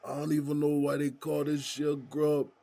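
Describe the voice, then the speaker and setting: A man playing a good-humored, folksy prison inmate in an audio drama, with a gravelly, husky voice and colloquial drawl. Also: a little high, monotone, normal speed, mellow. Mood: amused. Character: folksy, good-humored male jailhouse buddy named Smokey.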